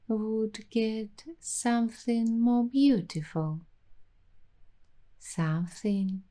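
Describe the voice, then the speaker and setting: A woman hosting an ASMR roleplay, talking softly and slipping into whispers now and then. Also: medium pitch. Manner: soft-spoken, slipping into whispers. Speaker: ASMR host.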